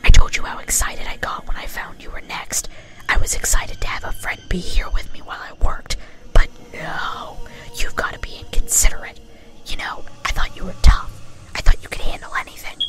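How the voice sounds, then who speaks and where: A woman's voice: very high-pitched, completely flat delivery, normal speed, pitched above the performer's own voice, low-quality recording. A woman acts out a sadistic female pony from cartoon fan fiction. She whispers in ASMR style, chiding her victim with a casual, cheerful menace. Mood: scornful chiding.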